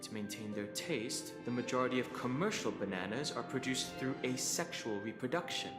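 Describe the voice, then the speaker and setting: A man voicing a calm, steady young investigator. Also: mid-range voice, normal speed, lightly acted. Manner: level, explanatory. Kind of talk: video game voice acting. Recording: game audio with long reverb and music.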